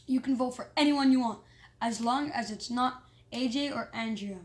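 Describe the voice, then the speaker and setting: A reader voicing a male school principal in a children's storybook, making loud, official announcements. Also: medium pitch, moderate pace, lightly acted. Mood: announcing.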